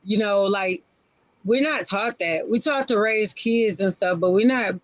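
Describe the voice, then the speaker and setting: A woman's voice: mid-range voice, measured pace, no room sound, poor audio. A woman in her forties speaking frankly on a casual live-stream panel, in short, repeated phrases. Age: 40s.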